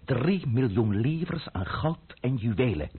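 An actor playing an imperious, scheming, middle-aged French count in a radio play, husky-voiced, haughty and lecturing. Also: male voice, medium pitch, expressive, takes it slowly, heavily acted. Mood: grave, urgent. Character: imperious, scheming French count and royalist intriguer, impatient, sardonic. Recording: muffled, narrow-band old radio recording.

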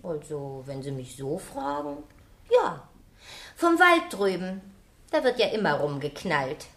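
A woman's voice: low voice, big pitch swings, unhurried, a bit bright, exaggerated voice. An actress playing a pert, cheeky housemaid in a radio drama, offhand in the way she talks.

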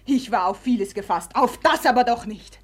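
A proud Russian society woman, played by an actress, speaks in a high voice. She is imperious and mocking, with fiery theatrical delivery in the manner of 1950s radio drama. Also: moderate pace, close to an everyday voice. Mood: bitter, scornful. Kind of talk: 1950s German radio play acting.

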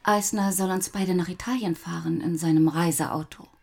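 An actress playing a capricious, affectionate young wife in a radio play, intimate and playful, often slipping into a breathy murmur. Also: female voice, fairly low pitch, steady tone, moderate pace, a little mellow. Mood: intimate, teasing. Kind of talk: German radio play acting.

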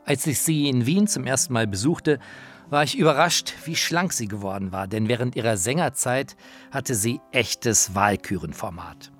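A man's voice: mid-range voice, expressive, normal speed, fairly bright tone, soft background music. A man narrating a radio feature in a colloquial, anecdotal storytelling manner.

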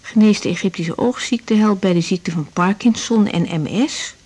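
A woman's voice: medium pitch, normal speed, a little mellow, slightly rough, natural voice. A woman acting in a radio drama as a cautious young doctor, polite and probing, with hesitant speech. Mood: reading aloud, sceptical. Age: young adult. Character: cautious young female doctor questioning a patient.

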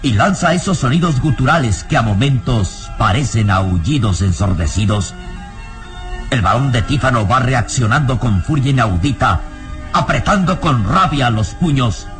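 Dramatic male radio serial narrator, animated. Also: mid-range voice, moderate pace, soft background music.

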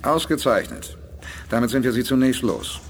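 An actor playing a commanding, principled leader of a space expedition in a science fiction radio play. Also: male voice, medium pitch, big pitch swings, moderate pace, vocal fry, a little hiss or hum. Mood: commanding, satisfied.

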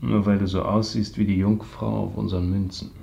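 A suave, calculating male tycoon from a seventies radio play. His voice is low and gravelly, with clipped, teasing delivery. The recording is dull and muffled. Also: level tone, fairly quick, mellow. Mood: dry, teasing. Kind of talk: 1970s German radio drama acting. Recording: dull, band-limited 1970s radio recording.

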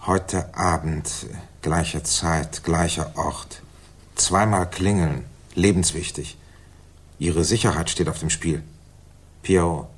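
An actor playing a measured, inquisitive gentleman, brother of a detective, in a 1960s German radio crime drama. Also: sits low, fairly even intonation, slightly rough, heavily acted. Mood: deliberate reading.

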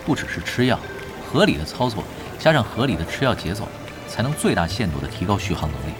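Animated, laid-back veteran gamer leader. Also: male voice, on the low side, quick pace, music in the background. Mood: coaching. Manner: instructive, drily teasing. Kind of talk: Chinese radio drama acting.

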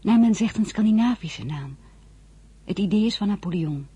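An actress in a radio drama playing a tender, frank young wife and new mother, with a soft, emotional delivery. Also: medium pitch, animated, normal speed, husky. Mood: calm, gentle.

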